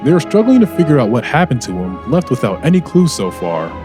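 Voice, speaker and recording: A man hosts and narrates a scripted radio drama like a theatrical announcer. His medium-pitched voice moves in lively swings over a clear music bed. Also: brisk. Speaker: host and narrator.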